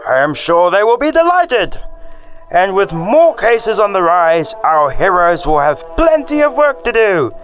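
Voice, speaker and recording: Male storyteller, very high-pitched and lively, scripted radio-play narration over prominent music. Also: unhurried.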